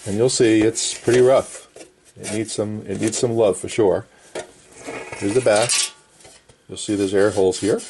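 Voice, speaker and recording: Middle aged guy with a lowish voice, talking thru a repair at his bench in short simple bits, bit of background noise. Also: fairly even intonation, a little slow, long pauses, darkish tone. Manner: plain, short repetitive explanatory phrases. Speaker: vintage radio restorer and presenter. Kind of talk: casual hands-on repair demonstration. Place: indoor workbench.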